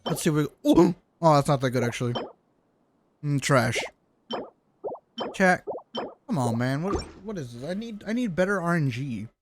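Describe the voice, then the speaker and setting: High-voiced man streaming games over noise. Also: animated, normal speed, pauses often. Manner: jokey, exclamatory, profane. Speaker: game live streamer. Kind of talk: casual livestream chat while playing a game.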